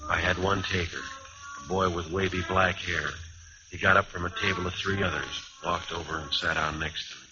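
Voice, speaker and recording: An actor playing a hard-boiled, world-weary American jazz musician in a radio noir, terse, wry and deadpan as he talks and narrates. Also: deep voice, normal speed, natural voice. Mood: brooding narration. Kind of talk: old-time radio noir acting and first-person narration. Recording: dull vintage radio recording with music.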